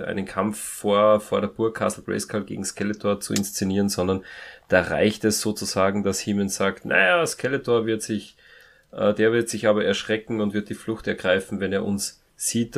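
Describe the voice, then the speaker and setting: Creaky-voiced man, halting podcast chat. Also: fairly low pitch. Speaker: podcast co-host. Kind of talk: casual review chat.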